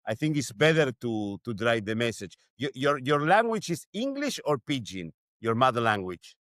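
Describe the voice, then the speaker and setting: A man hosting a casual interview in halting, non-native English, often repeating words as he builds his questions. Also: mid-range voice, big pitch swings, a bit bright, no room sound, studio quality. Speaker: podcast host, interviewer.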